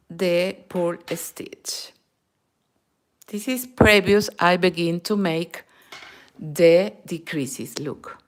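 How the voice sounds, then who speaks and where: Slow-talking Peruvian woman teaching knitting. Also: medium pitch. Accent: Peruvian Spanish. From Peru. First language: Spanish. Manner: slow, patient, counts stitches aloud; mixes in Spanish words. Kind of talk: knitting tutorial.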